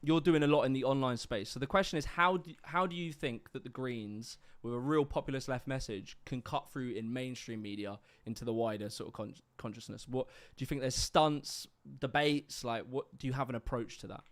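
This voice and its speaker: A man's voice: medium pitch, brisk, hardly any pauses. A young British man with a mixed multicultural London accent, hosting a livestream interview in a casual, rambling way with lots of fillers. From Britain. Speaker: host.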